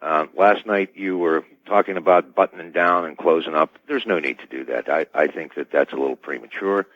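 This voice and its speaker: Very deep-voiced man, measured and hesitant. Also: dry recording. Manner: measured, hesitant, careful and formal. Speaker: radio show guest. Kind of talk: live radio interview. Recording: dull band-limited radio line.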